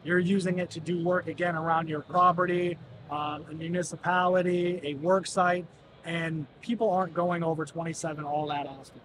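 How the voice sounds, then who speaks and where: High-voiced product rep in noisy hall. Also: male voice, level tone, moderate pace. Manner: polished, explanatory sales pitch. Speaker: product representative. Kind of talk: product demonstration. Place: large indoor expo hall. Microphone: camera mic at close range. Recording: crowd noise of a busy expo hall.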